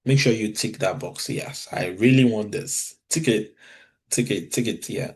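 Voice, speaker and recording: A man with a Nigerian English accent and a fairly low voice talks informally, often pausing on fillers and restarting his sentences. He sounds like someone giving practical advice in a relaxed session. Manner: conversational, with frequent fillers and restarts. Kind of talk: informal talk.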